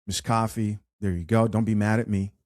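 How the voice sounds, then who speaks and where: A man's voice: fairly low pitch, fairly quick, no room sound. Chatty man hosting commentary, dry sound. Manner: chatty, animated, with frequent fillers and restarts. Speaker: host. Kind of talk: unscripted commentary reading viewer comments.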